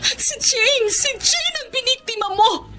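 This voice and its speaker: Casting: betrayed middle-aged Filipino wife, shrill-high, anguished, confrontational radio drama delivery over music. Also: female voice, a little slow, sharp tone, heavily acted. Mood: tearful outrage. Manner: tearful, confrontational, emotionally heightened.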